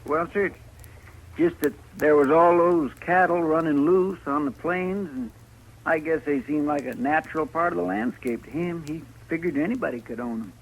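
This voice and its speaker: An actor playing an earnest, deferential marshal's sidekick with a homespun, rambling manner, 1950s radio style. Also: male voice, on the high side, normal speed. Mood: folksy. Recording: faintly noisy old radio recording.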